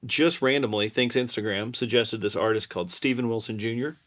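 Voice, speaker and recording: A man's voice: mid-range voice, a little fast, fairly bright tone. A man co-hosting a podcast, chatting casually in a conversational, unscripted way. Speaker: podcast co-host. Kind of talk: casual chat.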